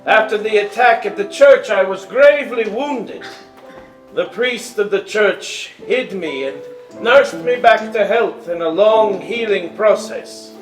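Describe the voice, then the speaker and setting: A man's voice: sits high, slow. A man playing a grave, kindly wounded king in a staged reading of a stage musical. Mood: grave, apologetic. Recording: echoey stage with music behind.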